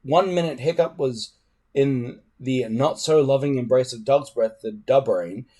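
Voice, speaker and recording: Guy reading a kids adventure book out loud, slow pace, expressive, medium pitch. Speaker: reader. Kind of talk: storybook reading aloud.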